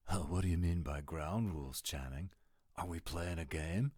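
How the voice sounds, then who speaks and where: A man's voice: low voice, normal speed, a little mellow, no room sound, clean studio sound. A man voicing a laid-back, goofy American dude in an audio roleplay, with a rambling, dim-witted slacker drawl and an American accent. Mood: goofy, upbeat.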